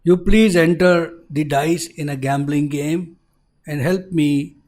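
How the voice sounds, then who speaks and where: A man's voice: takes it slowly, darkish tone. Man voicing a seething, vengeful demon god. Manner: low, brooding, seething threats. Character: wrathful male demon deity, jealous, vengeful.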